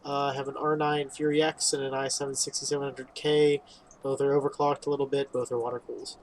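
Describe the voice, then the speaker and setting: A man's voice: mid-range voice, little pitch movement, fast talker, slight background noise. A man giving casual, rambling playthrough commentary on a video game, reading dialogue aloud with wry, informal asides. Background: game music.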